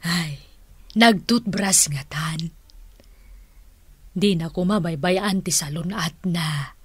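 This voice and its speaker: A woman's voice: fairly low pitch, breathy edge, no room sound, full character voice. An actress with an Ilocano accent playing an emotive, lovesick woman in a melodramatic radio drama. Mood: wistful longing. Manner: sighing, emotive, slow delivery. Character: lovesick, melodramatic woman longing for a jailed man.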